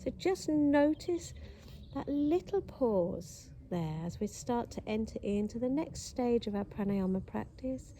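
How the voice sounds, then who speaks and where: A woman leading a guided breathing practice, counting the breaths calmly and steadily. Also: a little high, a little hiss or hum. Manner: calm, slow, counting steadily. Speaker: breathing instructor.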